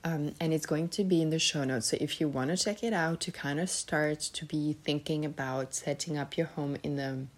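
A woman's voice: low-pitched, normal speed, few pauses. A woman from Serbia hosting a solo podcast, talking casually and rambling a little, with frequent fillers and self-corrections. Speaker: podcast host.

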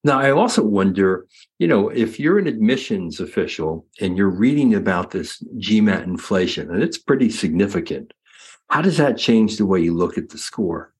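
Low husky man hosting a panel. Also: expressive, normal speed. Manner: conversational, walks through figures step by step. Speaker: host moderating a panel. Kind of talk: casual panel discussion.

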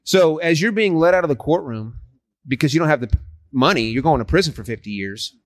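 A man's voice: a little high, animated, brisk, no echo. Man in his 40s, street evangelist, earnest and persuasive, dry close sound. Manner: earnest and persuasive, frequent restarts. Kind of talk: casual street conversation.

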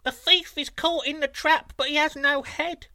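A man reading a folk tale aloud, voicing foolish palace sentinels in a high, comic falsetto. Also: fairly even intonation, moderate pace, bright, forceful tone, no echo, studio quality, heavily acted. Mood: mocking. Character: squeaky, dim-witted palace sentinels.

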